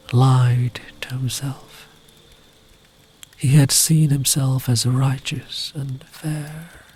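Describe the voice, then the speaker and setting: A man telling a story in a gentle ASMR style, soft and soothing, often slipping into a breathy near-whisper. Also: medium pitch, slight background noise. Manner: soothing, unhurried, tender.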